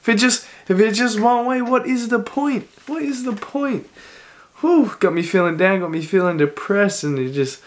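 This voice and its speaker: A man hosting a music reaction video in a relaxed chatty way, a little hoarse from a cold and coughing now and then. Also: high voice, normal speed. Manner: chatty, talks over the song with short reactions. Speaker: reaction channel host. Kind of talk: casual music reaction chat.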